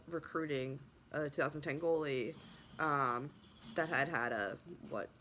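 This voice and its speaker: A woman's voice: low voice, pushed and bright. A young adult woman telling her own story in a casual vlog, rambling with many restarts, her voice still not fully back after being sick. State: voice still recovering from illness. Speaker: lacrosse goalie trainer.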